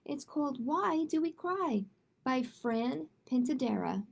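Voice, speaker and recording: A woman's voice: sits high, lively intonation, unhurried, creaky, background noise. A woman hosting a children's storytime, reading picture books aloud and chatting expressively and directly with young listeners. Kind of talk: children's read-aloud with casual chat.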